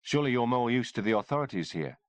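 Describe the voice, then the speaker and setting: A man voicing a gruff, blunt male army sergeant, shaken by what he has seen. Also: mid-range voice, expressive, fast, no echo, pitched above the performer's own voice, close to an everyday voice.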